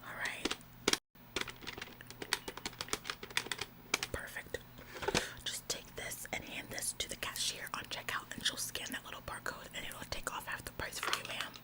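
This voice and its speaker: A woman's voice: normal speed, natural voice. A woman in a whispered ASMR roleplay, playing a bossy, officious store employee who repeats phrases for emphasis. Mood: gushing apology. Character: bossy, overzealous female retail store employee, falsely apologetic. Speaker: ASMR performer.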